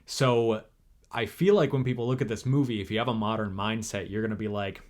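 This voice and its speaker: A man's voice: lowish voice, fairly even intonation, fairly quick, a little mellow. Rambling young man, podcast co-host. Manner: rambling, full of fillers and restarts, chatty. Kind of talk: casual chat.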